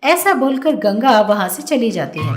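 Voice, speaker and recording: Female narrator for children's tales: high, animated delivery, roomy echo, faint music underneath. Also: normal speed. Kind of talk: scripted children's story narration.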